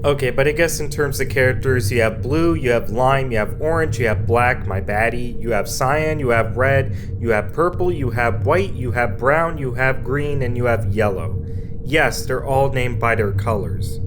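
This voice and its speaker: A young man reviewing a show in a casual, excitable and rambling way, his voice going hoarse. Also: mid-range voice, moderate pace, music in the background.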